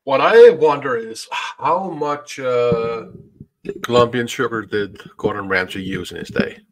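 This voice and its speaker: A man's voice: mid-range voice, measured pace, a little hiss or hum, poor audio. A man chatting casually as a guest on a live stream, tossing in quips. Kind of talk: casual chat.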